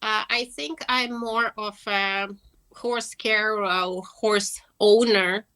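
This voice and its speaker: A woman talks as an interview guest over a video call. She speaks slowly, in a bright, tense voice. She pauses often and fills the gaps with ums and uhs. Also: mid-range voice, fairly even intonation, clean tone. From Russia. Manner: hesitant, many fillers, laughs lightly. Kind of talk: online interview.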